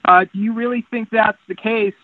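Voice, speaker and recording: Male reporter, high register, prepared interview questions, narrow telephone sound with little room. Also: fairly even intonation, fairly quick, no echo. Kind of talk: prepared press questions on a conference call. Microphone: phone. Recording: tinny narrowband phone line.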